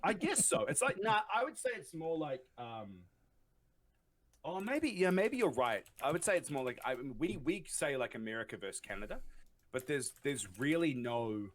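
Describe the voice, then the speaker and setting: A man's voice: on the high side, very animated, moderate pace. A man from New Zealand with a New Zealand accent, chatting as a podcast guest, rambling with restarts and frequent laughs. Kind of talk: casual podcast chat.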